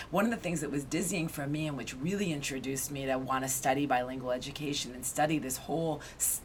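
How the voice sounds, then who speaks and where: A woman in her thirties, an author being interviewed, telling her story in a lively way with frequent restarts and "right?" tags. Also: low-pitched, slight background noise. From Argentina. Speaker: guest, author and education researcher. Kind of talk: conversational interview.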